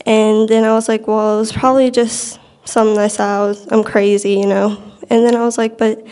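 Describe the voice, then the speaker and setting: Teenage girl, very even pitch. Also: brisk, clear, resonant tone. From Bell Island, Newfoundland, Canada. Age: teenager, about 14 to 15. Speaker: storyteller. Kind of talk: casual personal ghost story.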